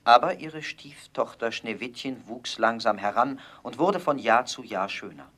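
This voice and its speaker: Casting: male storybook narrator, mid pitch, calm and measured. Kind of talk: fairy-tale audio play narration.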